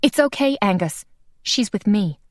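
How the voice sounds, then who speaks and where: A woman's voice: mid-range voice, expressive, a little slow, no room sound, studio quality, close to an everyday voice. A woman voicing a brave, caring young heroine who speaks in an urgent, reassuring way.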